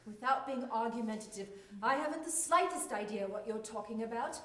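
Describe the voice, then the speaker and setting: A woman's voice: a little high, expressive, brisk, fairly bright tone, distant mic. A woman with an English accent playing a polite but easily affronted fiancée in a drama, with a formal, prim manner. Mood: indignant. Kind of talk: dramatic acting. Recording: echoey room, off mic, noisy.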